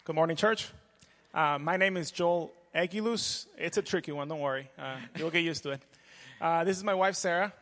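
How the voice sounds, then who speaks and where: A man from Peru, standing before a congregation, introduces himself in an earnest, measured voice. His words fill a large sanctuary and trail off in a long reverb. His pitch sits a bit above the usual. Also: fairly bright tone, slight background noise. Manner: earnest, measured, with frequent "uh" fillers. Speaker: pastoral candidate. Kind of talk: church introduction speech.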